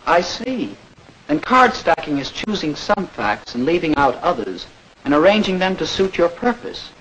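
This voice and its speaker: Eager attentive learner, animated intonation, inquisitive, vintage instructional film, slight noise. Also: male voice, on the high side, moderate pace. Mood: earnest, curious. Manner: earnest, attentive, questioning. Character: earnest, inquisitive male campaign pupil. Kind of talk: 1940s educational film dialogue. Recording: noisy old 1940s film soundtrack.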